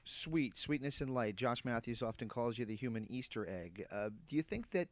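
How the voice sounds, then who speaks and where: A man's voice: medium pitch, animated, moderate pace. A man working as a reporter, politely asking a conversational question on a press call. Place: telephone conference call.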